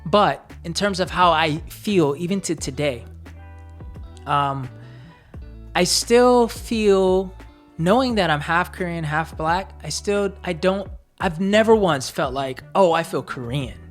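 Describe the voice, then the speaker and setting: Low-pitched American woman, thoughtful co-host, circling through ideas with lots of "you know" and "like". Her voice sits very deep for a woman. Soft music plays faintly underneath. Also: slow pace. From United States. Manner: reflective, talks in long searching sentences with many fillers. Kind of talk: casual chat.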